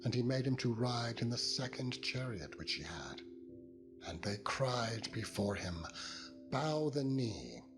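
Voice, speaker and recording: A man narrating a dramatized Bible reading in a solemn, measured and dramatic manner. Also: medium pitch. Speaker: scripture narrator. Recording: loud music under the voice.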